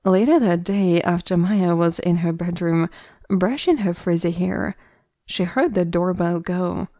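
Lower-pitched woman narrating a story. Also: measured pace, dark tone, dry recording, studio quality.

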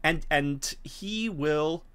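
A middle-aged man explaining and narrating a tabletop game as game master, phrasing things in a hesitant, measured way. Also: fairly high pitch, fairly bright tone, clean tone. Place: small home room, video call. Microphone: video call from a desk.

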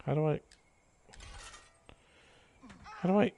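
A man's voice: high-pitched, huge pitch swings, takes it slowly, gravelly, warm tone, dull audio. A man doing casual live gameplay commentary, exclaiming, swearing and muttering jokey remarks to himself. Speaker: video game live streamer.

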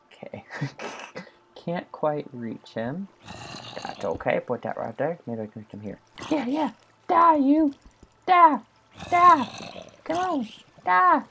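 A voice doing casual commentary while playing a video game, chatty and excitable, talking both to itself and to the viewers. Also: medium pitch, takes it slowly, gravelly, long pauses, a little hiss or hum. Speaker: gameplay commentator. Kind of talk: casual let's play commentary.

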